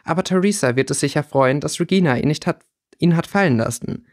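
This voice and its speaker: A man's voice: on the high side, animated, brisk, dry recording, clean studio sound, voice pushed up, lightly acted. A man voicing a caring, decisive young schoolgirl with a firm manner, in an audiobook. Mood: musing. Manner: firm, bossy but caring.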